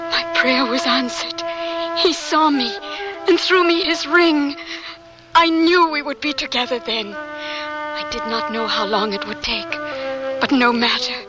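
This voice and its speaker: An earnest young woman in love, high-voiced. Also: a little slow, music in the background, low-quality recording. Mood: tender, earnest. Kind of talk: radio drama narration.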